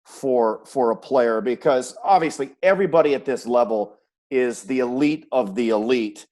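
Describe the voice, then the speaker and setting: A man's voice: medium pitch, moderate pace, a little mellow. A man who works as a sports broadcaster, hosting a conversational interview and posing questions.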